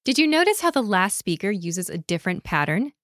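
A woman narrating a scripted language lesson in a clear, measured, instructional way, recorded close to a studio mic. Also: mid-range voice, sing-song, noisy background. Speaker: course narrator.

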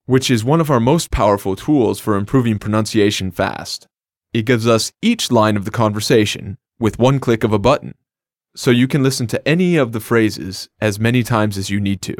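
A man's voice: lowish voice, normal speed, dry recording. Upbeat male language-lesson host. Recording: dry studio recording.

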